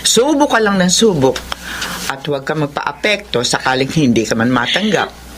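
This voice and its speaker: Low-voiced, motherly Filipina. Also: sing-song, moderate pace. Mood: consoling. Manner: motherly, consoling, emphatic. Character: caring, encouraging middle-aged mother. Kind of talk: Filipino radio drama acting. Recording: noisy track with background ambience.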